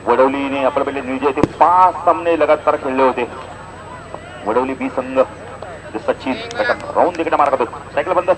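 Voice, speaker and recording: A man's voice: medium pitch, moderate pace, background noise. A man with a Maharashtrian accent doing live cricket commentary and announcements. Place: outdoor cricket ground. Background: crowd.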